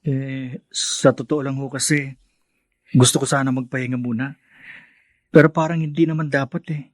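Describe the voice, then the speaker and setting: A man acting as a polite young graduate from a poor family in a radio drama. He sounds earnest and humble, with a medium-pitched voice in a dry studio recording. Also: steady tone, moderate pace, no echo, lightly acted. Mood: wistful. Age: young adult. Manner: respectful, humble.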